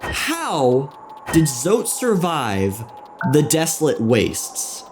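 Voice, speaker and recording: A man narrating a scripted game lore video essay in a wry, theatrical manner with dramatic pauses. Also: a little high, wildly swooping pitch. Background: music.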